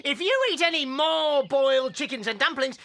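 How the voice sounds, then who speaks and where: An actor playing a short, pot-bellied, bad-tempered farmer, needling and peevish, in a children's audio drama. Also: male voice, extremely high pitch, normal speed, bright, forceful tone, full character voice.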